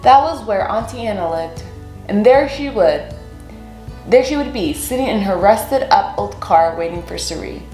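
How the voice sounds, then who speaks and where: A young woman reads a picture book aloud to children in a medium-pitched voice. Her phrasing is deliberate and expressive, in storyteller style. The room adds noticeable echo, and soft music plays underneath. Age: young adult. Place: small room indoors.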